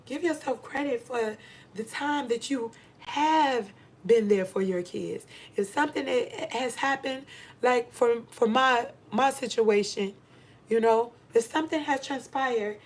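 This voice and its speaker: A middle-aged American woman giving a candid motivational monologue to viewers, speaking emphatically and repeating phrases for stress. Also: fairly high pitch. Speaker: vlogger giving advice to women.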